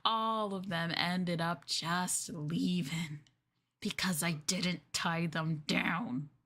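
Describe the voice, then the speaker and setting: A woman's voice: fairly low pitch, steady tone, very slow, a bit bright, voice pushed down, exaggerated voice. A woman playing an obsessive, possessive girlfriend in an ASMR roleplay, sweetly menacing and coaxing the listener in a cooing manner. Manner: cooing, coaxing, breaking into giggles and whispers.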